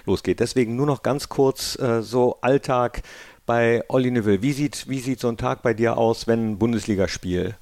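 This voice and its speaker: A man's voice: mid-range voice, moderate pace, a little mellow, no room sound. A man hosting a football club podcast, asking questions and wrapping up the show in a chatty way. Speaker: podcast host. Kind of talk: casual interview hosting.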